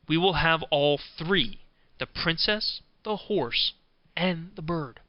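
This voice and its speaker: A man voicing a wise, helpful storybook fox who gives measured, instructive counsel. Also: a little high, expressive, dry recording, dull audio. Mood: calmly instructing.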